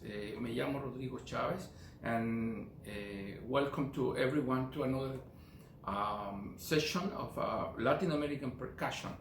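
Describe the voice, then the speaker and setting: A middle-aged man from Chile with a Chilean Spanish accent, teaching a percussion lesson with many fillers and counting beats aloud. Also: mid-range voice. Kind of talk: relaxed drum lesson. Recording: echoey room with faint noise.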